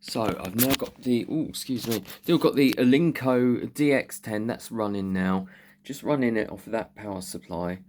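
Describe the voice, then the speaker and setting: A man's voice: on the low side, normal speed. A man chats informally, thinking aloud as he shows and explains radio gear like a hobbyist presenting a video. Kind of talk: casual chat, showing and explaining radio gear. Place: indoors at home beside radio equipment. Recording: very noisy room, loud radio static. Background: loud CB radio noise.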